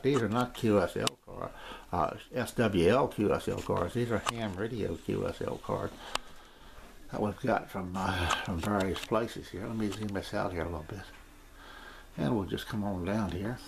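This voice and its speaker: An older man giving a casual, unscripted tour of his radio room, rambling and hesitant as he repeats himself. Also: fairly low pitch. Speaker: amateur radio hobbyist giving a shack tour. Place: small home radio room, indoors. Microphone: handheld camera's built-in mic. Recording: handheld camcorder with clear background noise.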